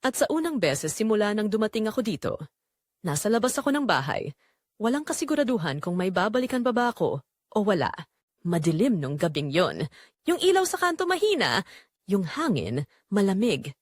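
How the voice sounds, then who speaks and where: A woman's voice: mid-range voice, sing-song, normal speed, no room sound, studio quality. A woman with a Filipino accent playing a weary, anguished migrant-worker mother, telling her story in an emotive, confiding audio drama narration.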